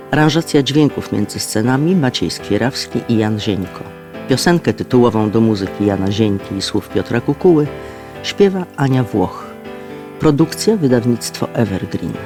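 Male announcer, mid register, scripted credits and sponsor read, music bed. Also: medium pitch. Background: guitar music.

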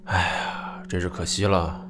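A man's voice: low-pitched, level tone, unhurried. A man playing an easygoing, bantering police colleague in a conversational audio drama. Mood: casual, curious. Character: easygoing male police colleague, teasing and slightly evasive.

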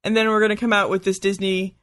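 A man with a distinctly high-pitched voice talks as a podcast co-host. The delivery is informal and conversational. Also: flat delivery, quick pace, fairly bright tone. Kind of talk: casual chat.

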